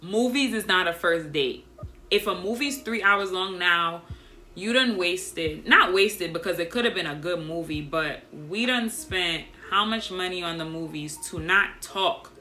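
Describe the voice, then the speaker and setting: Young female vlogger, soft unprojected delivery, lowish pitch with a crisp bright edge, chatty storyteller. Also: a little slow, a little hiss or hum. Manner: soft, doesn't project, monotone by her own account; chatty and animated, addresses viewers as y'all. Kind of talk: casual storytelling to camera.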